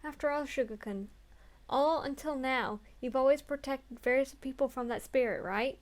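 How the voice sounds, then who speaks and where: A woman's voice: high-pitched, normal speed. A young woman playing through a story game, reading the text aloud earnestly and breaking off into crude, swearing jokes.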